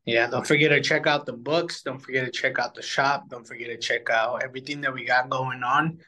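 A man with a medium-pitched voice chats as a podcast co-host. He sounds laid-back and plain-spoken, talking in simple, conversational phrases. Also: fairly even intonation, a little fast, bright, forceful tone. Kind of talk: casual podcast chat.

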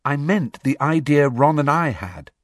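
A man raises his voice to play a clever, persistent teenage schoolgirl from a storybook reading, earnest and a little bossy. The voice sits well above his own, husky, with lively swoops of pitch. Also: measured pace, dry recording, studio quality.

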